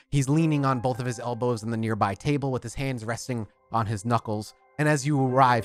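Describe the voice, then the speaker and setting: A man serves as game master, narrating scenes in a relaxed, conversational way at a middle pitch. Soft music plays faintly behind him. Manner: descriptive, conversational storytelling.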